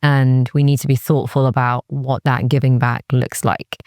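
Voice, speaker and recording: Candid woman from Wales, creaky low-key delivery. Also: level tone, normal speed, a little mellow, dry recording, clean studio sound. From Cardiff, Wales. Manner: candid, serious, peppered with "like" and quoted inner thoughts. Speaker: interview guest, music industry executive. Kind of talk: reflective personal interview conversation.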